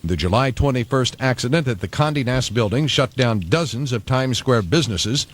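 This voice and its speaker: A man's voice: mid-range voice, animated, moderate pace. A man anchoring local news on the radio, reading from a script in a studio.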